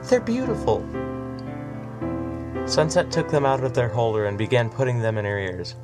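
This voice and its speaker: A man narrating a story in an expressive, animated reading. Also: medium pitch, fairly quick. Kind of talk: audiobook reading of fan fiction. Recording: soft music behind.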